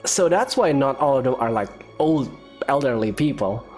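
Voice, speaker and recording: A man's voice: medium pitch, expressive, moderate pace, a little mellow. A man giving casual, wry reactions as he comments on a video game. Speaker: gameplay streamer. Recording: game music behind the voice.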